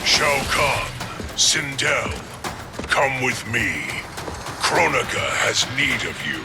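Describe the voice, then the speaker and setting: An actor playing a stern, commanding emissary in a video game, speaking formally and in warning. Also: male voice, medium pitch, big pitch swings, takes it slowly, loud music behind the voice. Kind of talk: video game cutscene acting.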